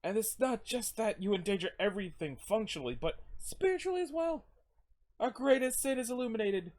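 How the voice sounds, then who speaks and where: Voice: mid-range voice, animated, a little fast, darkish tone, voice pushed up, natural voice. A performer plays a bitter, preachy philosopher in a comic reading. The delivery is grandiloquent and scornful, rising and falling widely as it rants. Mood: scornful, grave. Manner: grandiloquent, ranting.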